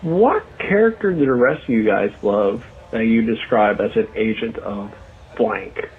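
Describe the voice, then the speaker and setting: A man's voice: fairly low pitch, animated, measured pace, husky. A man leaving a casual, hesitant voicemail question, played back from a recording. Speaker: voicemail caller. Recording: dull voicemail played back, faint noise.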